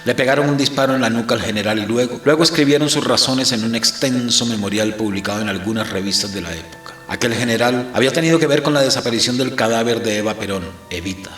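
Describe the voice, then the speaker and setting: An actor playing a grave, fatalistic captive priest who narrates his ordeal in a measured, theatrical, literary audio-drama style. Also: mid-range voice, a bit bright, roomy sound, soft background music, lightly acted. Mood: grave, brooding.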